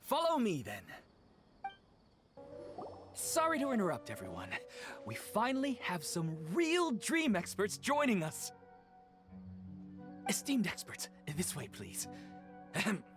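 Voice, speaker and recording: A woman's voice: medium pitch, wildly swooping pitch, slow pace, pauses often. A woman voicing a polite, cheerful, persuasive organizer in a video game, with a courteous and coaxing way of talking. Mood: welcoming, orderly. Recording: music behind the voice.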